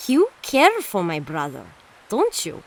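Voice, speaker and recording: A woman playing an earnest, curious teenage girl in an audio drama, speaking in a measured, expressive way. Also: medium pitch. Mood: gentle, teasing.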